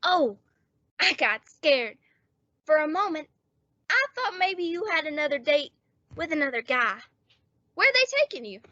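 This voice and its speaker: Woman playing a very high-pitched lovesick teenage boy. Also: very animated, a little slow, long pauses, sharp tone, no echo, muffled recording, exaggerated voice. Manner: earnest, overwrought. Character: lovesick, anxious, cowardly teenage boy, squeaky and melodramatic. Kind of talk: old-time radio comedy acting.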